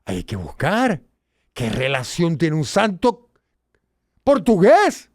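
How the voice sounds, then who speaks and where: Puerto rican guy hosting, kinda high voice, swoops all over, dry close sound. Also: slow pace, dry recording. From Puerto Rico. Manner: animated, emphatic, swings between low asides and loud exclaims. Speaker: host. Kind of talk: casual educational talk.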